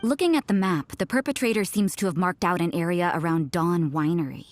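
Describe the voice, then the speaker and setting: Stern young nun for a video game, woman actor: lowish, composed, dry delivery, dismissive and cool. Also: a little fast, natural voice. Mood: cool, curt. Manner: curt, deadpan, composed. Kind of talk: English video game voice acting.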